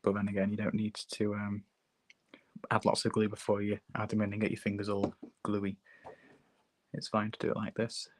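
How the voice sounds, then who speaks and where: A hoarse man with a low voice chats easily while he works at a hobby bench, walking viewers through a build step by step. His voice comes across close and dry, with no room sound around it. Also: moderate pace, long pauses, dry recording. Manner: relaxed, chatty, talks while working with his hands. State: hoarse, sore throat. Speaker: host demonstrating a model kit build. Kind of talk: casual livestream tutorial. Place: hobby workbench, filmed from overhead.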